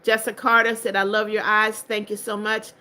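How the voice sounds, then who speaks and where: Bright-voiced strict older woman. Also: medium pitch, little pitch movement, a little fast. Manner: strict, firm about blocking spammers. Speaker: livestream host. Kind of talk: reading out live chat comments.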